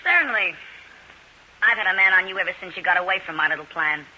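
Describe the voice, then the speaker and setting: A woman's voice: lowish voice, fast talker, sharp tone. An actress playing a cold, commanding female crime boss, menacing and controlled, in 1940s radio drama style. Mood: coolly menacing. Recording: hissy, muffled old radio recording, tinny.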